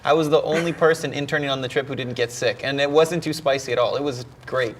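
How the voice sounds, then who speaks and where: A young man talks as a guest in a casual interview. He tells his story in an easygoing way, pausing now and then with a mild "um". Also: medium pitch, steady tone, slight background noise, rough recording.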